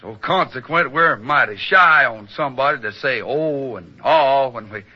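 A man's voice: mid-range voice, lively intonation, husky. An actor playing a plain-spoken, wry Old West townsman with a Western drawl and rustic phrasing, in old-time radio style. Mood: folksy, persuading. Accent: American Western drawl. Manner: rustic, drawn-out folksy phrasing. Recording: dull lo-fi old radio recording.

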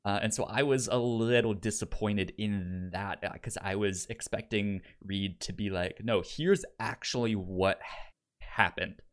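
A man's voice: on the low side, steady tone, measured pace. A man co-hosting a podcast in a casual review discussion, stammering and often repeating syllables and words. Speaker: podcast co-host.

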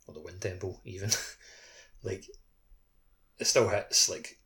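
A man's voice: deep voice, takes it slowly, bright, forceful tone. A man chatting casually and conversationally as a podcast co-host. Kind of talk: casual chat.

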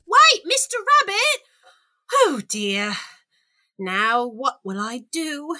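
Bright, high-pitched, curious prim girl played by a woman. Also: huge pitch swings, unhurried, exaggerated voice. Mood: distressed. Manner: theatrical, prim, exaggerated wonder. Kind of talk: comic audio storybook parody acting.

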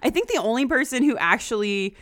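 A woman's voice: a little high, animated, brisk, fairly bright tone. A woman co-hosting a casual book discussion, talking conversationally and acting out imagined quotes to make her points. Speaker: podcast co-host discussing a graphic novel.

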